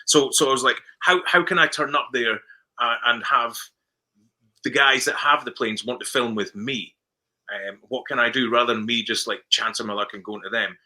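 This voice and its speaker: A man's voice: medium pitch, moderate pace, bright, forceful tone. A Scottish man, a film director, chatting in an interview and telling stories in a loose, repeating way as he acts out what people said. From Scotland. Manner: chatty storyteller who repeats words and acts out dialogue. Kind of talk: casual interview chat.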